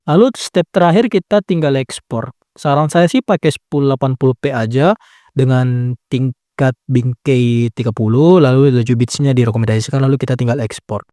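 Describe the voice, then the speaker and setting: A man's voice: medium pitch, moderate pace, dark tone, no echo, studio quality. A young man giving a casual, chatty step-by-step editing tutorial, laid-back with lots of filler tags. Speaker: tutorial creator. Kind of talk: casual screen-recording tutorial.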